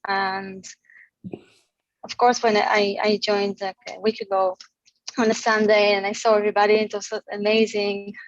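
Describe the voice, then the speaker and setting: A woman in her forties from Israel, sharing her gratitude on a group video call, halting and full of fillers and restarts. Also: mid-range voice, little pitch movement, dry recording, highs cut off. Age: 40s. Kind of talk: heartfelt casual sharing.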